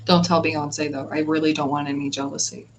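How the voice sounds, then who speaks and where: A woman's voice: low voice, flat delivery, unhurried, a little hiss or hum, low-quality recording. A woman hosting a tarot livestream in casual, rambling chat with viewers, laughing often. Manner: chatty and giggly, laughs often; rambling, with restarts and filler words. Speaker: tarot reader and livestream host.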